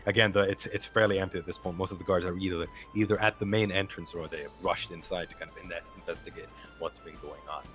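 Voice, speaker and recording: A man with a deep, low voice narrates a tabletop game as game master. He talks in a relaxed way, with plenty of fillers. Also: quick pace, hardly any pauses, faint music behind.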